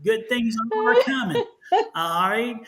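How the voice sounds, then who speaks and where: A Texan man with a fairly high voice talks his way through a live tarot reading. He rambles in a folksy manner and keeps circling back mid-sentence to correct himself. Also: huge pitch swings, takes it slowly, a crowd in the background. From Texas. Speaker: tarot reader and show host. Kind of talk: live-stream tarot reading, casual chat.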